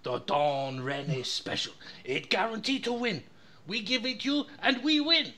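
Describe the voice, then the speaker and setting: A man's voice: high-pitched, expressive, a little slow, bright, forceful tone, pitched above the performer's own voice, exaggerated voice. A man voicing a pushy male engine supplier rep in a mock Japanese accent, in broken English, in a comedy podcast skit. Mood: boastful. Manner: broken English, hard-selling, swears.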